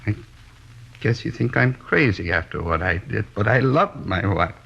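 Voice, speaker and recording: An actor in a 1950s radio drama playing a henpecked, weary middle-aged American plasterer who rambles plaintively and hesitantly. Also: male voice, lowish voice, lively intonation, normal speed, creaky, fairly bright tone, husky, heavily acted. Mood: plaintive, defensive. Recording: dull, band-limited old radio recording.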